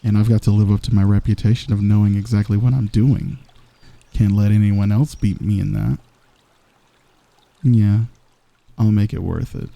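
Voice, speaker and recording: A man voicing a smug, flirtatious villain in a soft, intimate, teasing ASMR roleplay, close to the microphone. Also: on the low side, steady tone, moderate pace, gravelly, long pauses, dry recording. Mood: reassuring, confident.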